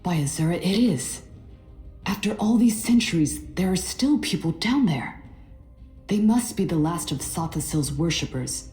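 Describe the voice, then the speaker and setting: A woman's voice: fairly low pitch, lively intonation, a little slow, warm tone. A woman playing a wary, earnest adventuring companion in scripted fantasy game dialogue. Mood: amazed. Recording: echoey, faint music behind.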